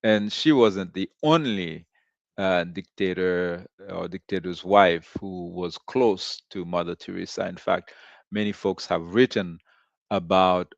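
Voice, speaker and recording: Slow-spoken deep-voiced man from Haiti. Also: vocal fry, no echo, lo-fi sound. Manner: deliberate, with frequent "uh" and "you know" pauses. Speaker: host of a commentary show. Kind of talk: slow reflective commentary monologue.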